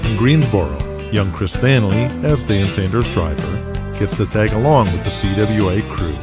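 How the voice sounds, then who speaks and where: A man's voice: lowish voice, moderate pace, loud music behind the voice. An American man narrating an audio drama in a scripted, dramatic storytelling style.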